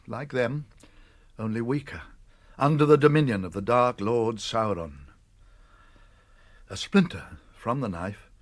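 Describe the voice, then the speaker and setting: Old british wizard man, radio play, wise n kindly, serious measured way of talking, quietly commanding. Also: medium pitch, no room sound. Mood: grave, caring. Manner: measured, weighty, authoritative delivery. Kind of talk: radio drama acting.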